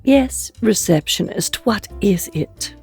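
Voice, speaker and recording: A woman's voice: medium pitch, expressive, slow, a little mellow, faint music behind. A woman playing an ambitious, impatient immortal in a comedic audio drama, with a haughty, exasperated, articulate manner.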